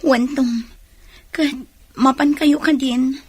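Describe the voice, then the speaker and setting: A woman's voice: sits high, steady tone, slow, breathy edge, no echo, exaggerated voice. A woman playing an anxious, worried wife in a radio drama.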